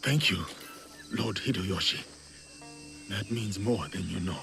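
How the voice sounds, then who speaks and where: A low-voiced, steady samurai warrior. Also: male voice, slow pace, gravelly, over music, exaggerated voice. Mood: respectful. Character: foreign samurai warrior, steady, earnest, respectful. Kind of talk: dramatic video game cutscene acting.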